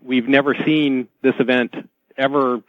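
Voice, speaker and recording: A man as a radio guest, explaining things haltingly and often repeating words. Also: medium pitch, little pitch movement, no room sound. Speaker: talk show guest. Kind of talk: explanatory radio interview talk. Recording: dull, lo-fi dry radio feed.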